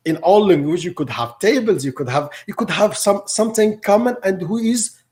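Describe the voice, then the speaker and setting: A middle-aged man from Morocco, Arabic his first language, speaks in a somewhat high voice. He answers interview questions haltingly, stopping to repeat words and restart his sentences. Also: lively intonation, darkish tone. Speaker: teacher.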